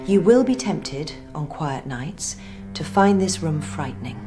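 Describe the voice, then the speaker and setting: A woman acting a brisk, low-voiced archive chief. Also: animated. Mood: serious, measured. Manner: clipped, dry, commanding. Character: brisk, wry woman in charge of secret alien-artifact archive. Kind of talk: scripted audio drama acting. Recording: music under the voice.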